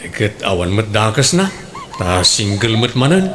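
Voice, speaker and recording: A man's voice: lowish voice, very animated, measured pace. Man playing a matter-of-fact grown son, slight noise. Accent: Ilocano. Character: adult son, frank and casually matter-of-fact. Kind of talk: comedic radio drama acting.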